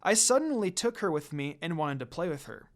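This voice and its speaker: A man voicing an eager, sweet young fox demon boy in a story, with an excited childlike manner. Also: fairly high pitch, a little fast. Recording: music under the voice.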